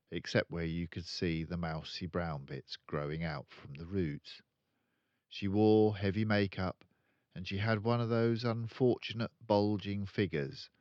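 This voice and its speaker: An older man with a British accent reading a children's story aloud as an expressive narrator. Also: sits low, smooth, dry recording, muffled recording.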